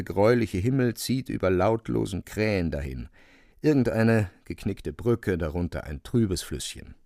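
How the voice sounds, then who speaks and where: Knarrig tiefer, leidenschaftlicher Erzähler. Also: male voice, lowish voice, gravelly, no room sound, studio quality, exaggerated voice. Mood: brooding, suspenseful. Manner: theatrical, rhetorical, with dramatic pauses. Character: male author-narrator, passionately involved storyteller. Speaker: narrator. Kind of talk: literary radio drama narration.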